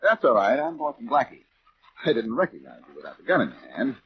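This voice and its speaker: An actor playing a breezy, self-assured, wisecracking detective in 1940s radio style. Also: male voice, medium pitch, wildly swooping pitch, fast talker. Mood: brisk, confident. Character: wisecracking confident amateur detective, adult man. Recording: muffled, noisy old radio recording.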